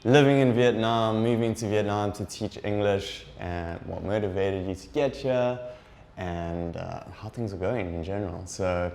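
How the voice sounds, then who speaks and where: A man's voice: on the low side, steady tone, a little slow, vocal fry, a little mellow, reverberant. A young man hosting a podcast interview, casual and hesitant, with many "uh"s and restarts. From Durban, South Africa. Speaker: podcast host.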